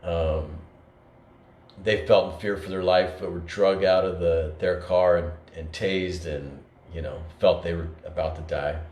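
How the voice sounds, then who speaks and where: A man's voice: deep voice, fairly even intonation, unhurried, darkish tone. A man co-hosting a podcast, talking in an earnest, reflective way with many fillers and restarts. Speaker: podcast co-host. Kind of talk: reflective conversational talk. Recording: echoey room with faint noise.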